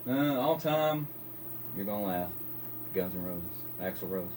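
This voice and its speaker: A man with a gravelly, very low voice talks in an easygoing, modest way, often trailing off, as a guest musician at a radio station. A steady hiss of background noise sits under his voice in the studio. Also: takes it slowly. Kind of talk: casual radio interview.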